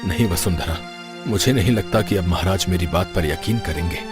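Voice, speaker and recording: A man's voice: low voice, a little fast, lightly acted. A man voicing a humble, honest brahmin in a cartoon story, who speaks deferentially and hesitantly. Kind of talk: cartoon voice acting. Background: background music.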